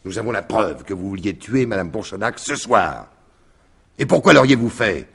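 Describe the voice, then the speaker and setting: A police commissioner from a 1950s radio play. The man's husky voice swings widely in pitch as he speaks with sardonic, theatrical deliberation, like a shrewd detective closing a case. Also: lively intonation, normal speed, fairly bright tone, full character voice. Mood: accusing, triumphant. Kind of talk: 1950s French radio drama acting.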